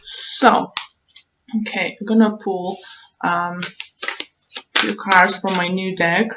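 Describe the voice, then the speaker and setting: Slow-paced woman giving a tarot reading. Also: mid-range voice, steady tone, lo-fi sound, very noisy. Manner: halting, repeats phrases, thinks aloud. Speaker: tarot reader, online show host. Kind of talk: unscripted tarot reading, advice to viewers.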